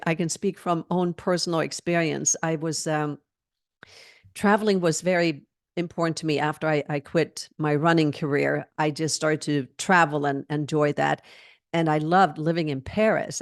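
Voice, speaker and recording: A woman's voice: deep voice, fairly even intonation, normal speed, no echo, clean studio sound. A woman in her sixties answering interview questions over a video call, earnest and reflective, laughing often as she talks. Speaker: fitness trainer and guest.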